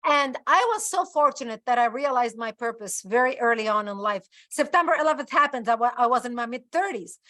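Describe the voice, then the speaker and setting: A woman's voice: a little high, animated, brisk, hardly any pauses, sharp tone. A woman in her fifties from the Middle East, whose first language is Arabic, telling her story in an interview with emphatic, repeated phrases. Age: 50s. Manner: emphatic, building repetitions. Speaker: guest.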